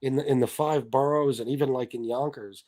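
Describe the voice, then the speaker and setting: A man in his forties hosting a casual interview chat, relaxed and agreeable, reading out viewer questions. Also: medium pitch, brisk. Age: 40s. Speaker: host.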